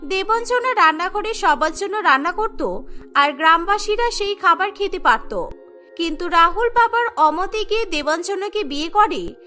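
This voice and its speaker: A woman's voice: very high-pitched, bright, forceful tone. A woman narrating a cartoon story in a scripted storytelling style.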